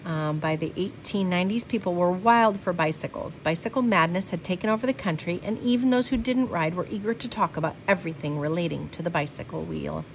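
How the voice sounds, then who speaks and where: A woman's voice: on the low side, fairly quick, few pauses, poor audio. Woman reading a picture book, faint background noise. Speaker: storybook reader. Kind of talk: children's picture book read-aloud with asides.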